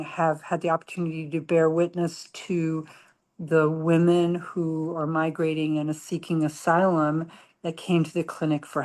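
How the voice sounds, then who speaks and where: A woman's voice: low voice, a little mellow. A middle-aged woman surgeon speaking from home on an online panel, talking in a rambling, self-effacing way. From grew up in Tokyo and Singapore. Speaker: surgeon guest.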